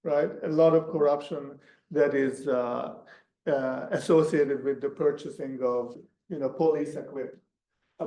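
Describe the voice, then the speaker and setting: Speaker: man, Hungarian native, gravelly and mellow, fairly high voice, halting academic answers, echoey room. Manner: halting, many restarts and fillers. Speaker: guest speaker. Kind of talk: academic talk answering questions. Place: lecture room.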